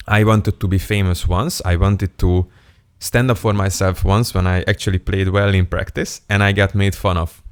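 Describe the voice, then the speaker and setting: A young man from Hungary speaks English in a very deep, low register. He talks to the camera in a playful, self-mocking and candid way, like a casual vlogger. The sound is dry and close, with no room echo. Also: darkish tone. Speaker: self-improvement YouTuber. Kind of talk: casual storytelling monologue to camera.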